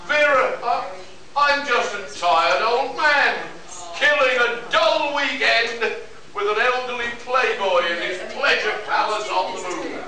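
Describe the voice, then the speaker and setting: A man acts out a jaded young space adventurer in a staged science fiction radio play. He grumbles and declaims slowly in a very high register. The sound is distant and heavily echoed, with some audience murmur behind. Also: sharp tone, full character voice. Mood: weary, grumbling. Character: weary, cynical young male botanist-adventurer in space. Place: live performance in a convention room.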